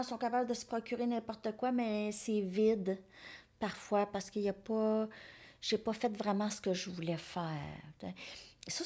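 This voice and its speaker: A woman's voice: fairly high pitch, darkish tone. A woman giving a casual tarot reading, rambling and hesitant, sometimes dropping to near-whispers. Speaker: tarot card reader.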